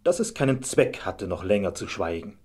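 A man with a husky voice narrating a scripted fairy tale as a storyteller. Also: on the low side, sing-song, normal speed, a little mellow.

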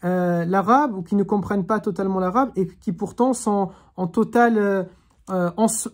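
A woman's voice: medium pitch, fairly even intonation, moderate pace, darkish tone. A woman teaching an online religious class over a video call, speaking in an earnest, reflective way and urging her listeners on. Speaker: Quran teacher.

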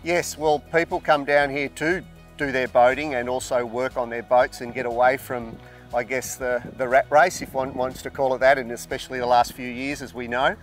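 A middle-aged man, general manager of a marina, answering questions outdoors in a polite, measured and slightly formal way. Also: medium pitch, little pitch movement. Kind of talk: interview answers. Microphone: lapel mic. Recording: faint background music.

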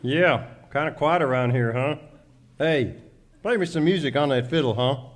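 A man's voice: mid-range voice, moderate pace, natural voice. Man playing a bossy, trigger-happy cowboy. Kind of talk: comic Western radio sketch.

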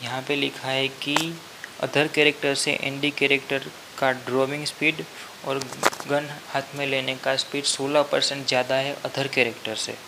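A man's voice: medium pitch, little pitch movement, measured pace, creaky, noisy background. A man with an Indian accent hosting a gaming channel, giving commentary over game footage. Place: voice-over on game screen capture. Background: background music.

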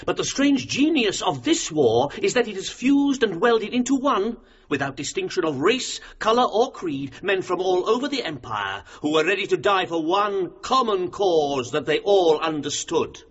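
A high-voiced orator. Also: male voice, very animated, moderate pace, few pauses, slightly rough, muffled recording, exaggerated voice. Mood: solemn, impassioned. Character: impassioned, solemn parliamentary orator advocating for the dead. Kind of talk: formal speech in radio drama.

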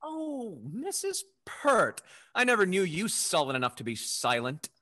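A young man playing a suave, faithless gentleman rake in a Restoration comedy, glib and mocking. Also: high voice, huge pitch swings, a little slow, full character voice. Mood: mocking, teasing. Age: young adult. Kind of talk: theatrical period stage acting over video call. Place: dim indoor room, on video call.

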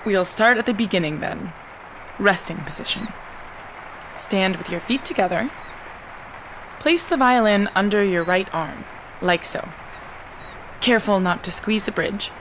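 Kind young music teacher, patient, mid-range voice, audio drama. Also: female voice, mid-range voice, lively intonation, unhurried, long pauses, fairly bright tone, rough recording. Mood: patient, encouraging. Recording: faint ambient background noise.

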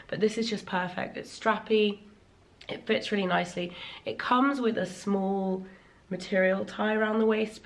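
A woman in her thirties chatting casually in a vlog from a bright living room, her voice still slightly worn after laryngitis, enthusiastic and full of ums. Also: medium pitch, gravelly. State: recovering from laryngitis, voice a little worn. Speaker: fashion vlogger. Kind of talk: casual sponsored haul vlog.

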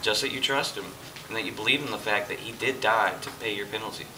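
A man's voice: fairly low pitch, quick pace, noisy background, lightly acted. A man playing Jesus in an amateur church stage play, explaining earnestly and patiently to his dinner guest. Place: church hall stage, indoors. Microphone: far camera. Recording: distant camera with music behind.

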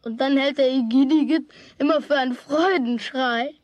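A stubborn, outspoken young boy, acted by a woman in a children's radio play. The voice is fairly high and blunt, with a grumbling, colloquial way of talking. It is recorded close and dry. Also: moderate pace, no room sound, muffled recording, lightly acted. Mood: pondering, matter-of-fact.

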